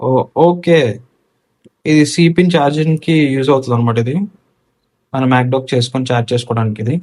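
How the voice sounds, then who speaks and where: A man's voice: mid-range voice, fast talker, a little mellow. Telugu man giving a casual walkthrough. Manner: mixes English technical words into talk. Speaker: motorcycle gear reviewer. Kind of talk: casual unboxing and installation walkthrough.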